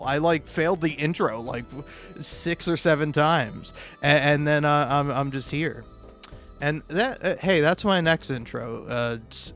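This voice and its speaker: A man's voice: on the high side, a bit bright. A man in a rambling, comedic off-the-cuff monologue, stammering and restarting words, sweary and self-deprecating. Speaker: video maker. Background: background music.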